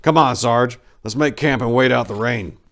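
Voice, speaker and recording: A man's voice: mid-range voice, normal speed, a bit bright, voice pushed up, natural voice. A man voicing a bitter, hot-headed young American army corporal, griping and profane, in a war story reading.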